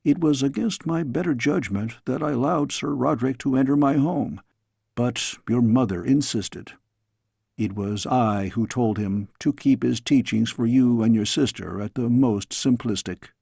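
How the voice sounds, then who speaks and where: A man voicing a fatherly storybook king, grave yet tender, with measured, formal speech. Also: medium pitch, creaky, warm tone, husky, no room sound, clean studio sound.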